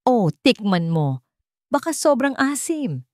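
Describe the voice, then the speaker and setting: Casting: a woman as a caring, teasing young mother in a romance radio play, lively pitch, dry close recording. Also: takes it slowly, dry recording. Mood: amused, caring. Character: gently teasing young mother, former sweetheart of the hero.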